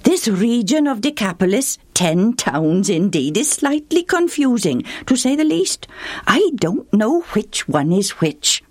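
A woman's voice: medium pitch, very animated, a little slow, no echo, pitched above the performer's own voice. A woman voicing a polite, formal traveling man in a children's story. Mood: courteous. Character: courteous traveling man from afar.

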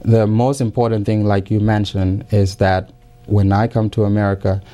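Low, flat-voiced man with careful speech. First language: not English. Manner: many fillers and restarts, careful, measured. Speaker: interview guest. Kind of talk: hesitant conversational interview answers.

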